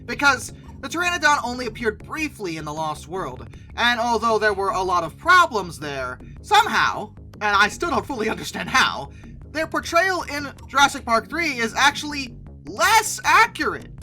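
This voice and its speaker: A woman's voice: fairly high pitch, very animated, normal speed, bright, forceful tone, soft background music, low-quality recording. A woman host giving casual, opinionated list commentary in an emphatic way, with theatrically exasperated asides. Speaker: host of a paleontology video channel.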